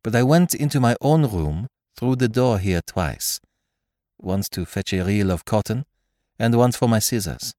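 A man's voice: on the low side, animated, unhurried, dark tone, no echo, studio quality, voice pushed up. A man voicing a frightened young lady's maid, speaking reluctantly. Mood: pleading. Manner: pleading, reluctant.

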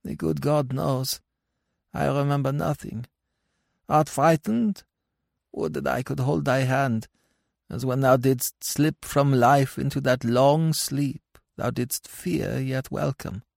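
Man acting dead husband, tender, devout, higher pitch, reassuring, archaic storybook phrasing. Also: slow pace, gravelly, darkish tone, dry recording, studio quality. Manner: reassuring, reverent archaic speech. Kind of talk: dramatic storybook reading.

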